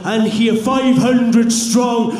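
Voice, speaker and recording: Fiery Welsh wrestler shouting in an arena. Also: male voice, fairly even intonation, very slow. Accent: South Welsh. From South Wales. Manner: fiery, builds to furious shouting. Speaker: pro wrestler. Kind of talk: wrestling promo to a live crowd. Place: large arena with a live crowd. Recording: booming echo from a big hall, crowd noise.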